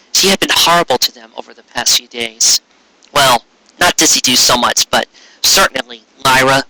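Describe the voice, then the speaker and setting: A man reading a story aloud in an expressive live style, with a casual way of talking. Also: medium pitch, no room sound, poor audio. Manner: expressive, acting out character dialogue. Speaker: reader of fan fiction.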